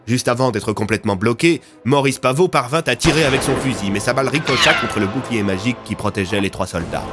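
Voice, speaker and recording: A man narrating a scripted audio story in a dramatic style. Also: medium pitch.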